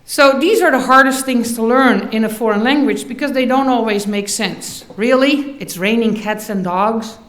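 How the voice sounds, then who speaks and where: Dutch-accented woman, highish voice, echoey hall. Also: normal speed, hardly any pauses, fairly bright tone. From the Netherlands. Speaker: professor, faculty speaker. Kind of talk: prepared commencement address with light humor. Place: large auditorium stage. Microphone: podium microphone. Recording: echoey hall, podium microphone.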